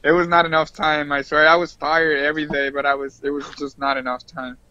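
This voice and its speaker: A man's voice: a little high, little pitch movement, fairly quick, pushed and bright. A man chatting casually as a podcast guest, telling things informally and repeating himself for emphasis. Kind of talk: casual chat. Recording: dull lo-fi, dry close sound.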